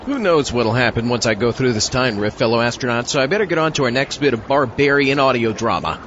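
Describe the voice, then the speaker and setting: A man's voice: medium pitch, fast, a bit bright, slight background noise. A man hosting a comedy audio show in character. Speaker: host. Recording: muffled, faint background ambience.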